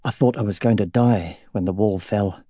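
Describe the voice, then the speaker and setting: Narrator as an ashamed young husband, halting, dark husky tone. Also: male voice, fairly low pitch, dry recording, clean studio sound, close to an everyday voice. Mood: subdued. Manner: halting, strained.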